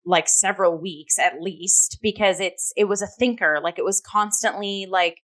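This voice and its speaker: A woman's voice: medium pitch, normal speed, a bit bright. A woman co-hosting a theatre review show, talking casually and enthusiastically and peppering her speech with "like".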